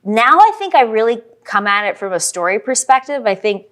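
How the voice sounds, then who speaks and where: A woman's voice: a little high, expressive, fairly quick, fairly bright tone. A Canadian woman in her thirties explaining things in a casual interview, peppering her talk with "like" and "kinda". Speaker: guest, acting teacher and filmmaker.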